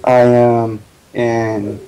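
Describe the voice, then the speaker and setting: A man's voice: mid-range voice, level tone, extremely slow, gravelly, dark tone, poor audio. A man speaking as a guest on a casual podcast call, offhand and dry.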